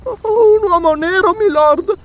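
Storybook child role: timid, pleading little servant girl, high and swooping, performed by a man. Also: lively intonation, fairly quick. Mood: terrified pleading. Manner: breathless stammering, pleading.

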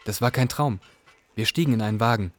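A man's voice: mid-range voice, fairly quick, lightly acted. A man acting as a young Iraqi refugee, earnestly narrating his story in a radio play. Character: young male Iraqi refugee telling his hard odyssey.

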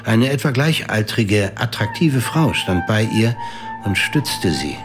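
A man's voice: on the low side, animated, slow, music in the background. A man reading scripted literary narration for an audio drama in a sober, composed way.

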